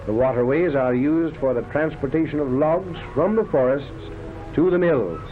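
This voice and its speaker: Tinny vintage newsreel narrator, higher-pitched man. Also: moderate pace. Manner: declamatory, florid showman-like delivery. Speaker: documentary narrator. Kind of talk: 1930s newsreel-style scripted narration. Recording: tinny band-limited vintage film soundtrack, loud music. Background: loud background music.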